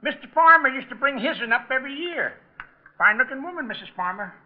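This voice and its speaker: A man's voice: extremely high pitch, animated, fast, slight background noise. An actor playing a talkative, muddled old lodge foreman with a rural American accent, rambling and repeating himself in old-time radio style. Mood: folksy, rambling. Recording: dull vintage radio broadcast recording.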